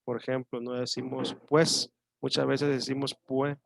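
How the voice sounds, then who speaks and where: A male teacher from Mexico with a coastal Mexican Spanish accent, explaining a lesson slowly and thinking aloud with fillers and pauses. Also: mid-range voice, level tone, vocal fry, no echo. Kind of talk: online class lecture.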